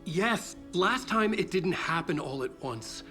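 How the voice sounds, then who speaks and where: Desperate writer, troubled delivery, dramatic game acting, higher-set male voice, clear music underneath. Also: expressive, pushed and bright, exaggerated voice. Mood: urgent, troubled. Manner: halting, troubled, repeats words. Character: haunted, desperate male writer escaped from a nightmare. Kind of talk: dramatic video game acting, narration and dialogue.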